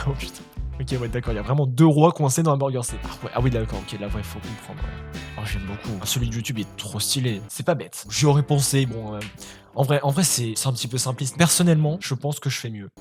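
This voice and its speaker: A man's voice: mid-range voice, fast talker, darkish tone. Joking French-speaking man over music. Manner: joking, slangy, chatty asides. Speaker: YouTuber reacting to web articles. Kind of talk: casual reaction commentary, reading articles aloud.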